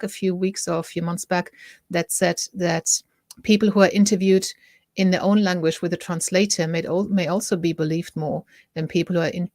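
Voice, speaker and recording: A middle-aged woman speaks with a German accent in a lowish, even voice, recorded close and dry. She hosts a casual talk to camera, chatty and prone to stopping mid-thought to correct herself. She keeps turning to viewers to ask what they think. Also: no echo. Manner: chatty, self-interrupting, asks viewers for opinions. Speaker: host.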